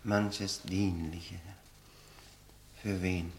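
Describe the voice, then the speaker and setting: An actor in a German radio play playing a bitter, ironic, educated convict who speaks deliberately and pauses for effect. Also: male voice, sits low, level tone, roomy sound, slight background noise, exaggerated voice. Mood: sardonic.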